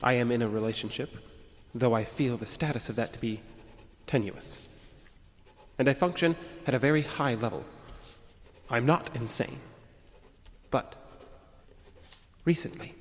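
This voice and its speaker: An actor playing an agitated, arrogant man with violent urges, narrating his journal in a deliberate, formal way for an audio drama. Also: medium pitch, a little hiss or hum, lightly acted. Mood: cold, self-important. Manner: pompous, deliberate, brooding, formal phrasing. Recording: long reverb, music underneath.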